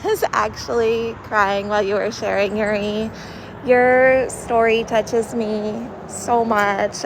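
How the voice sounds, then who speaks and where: Slow-talking emotional woman on a call. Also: on the high side, noisy background. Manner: emotional, effusive. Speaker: host of the group session. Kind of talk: casual chat. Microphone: online audio call.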